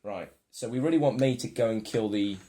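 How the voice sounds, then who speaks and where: A man casually playing a board game with friends, reading cards aloud and getting excited. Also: mid-range voice, brisk. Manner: excitable, reads card text aloud.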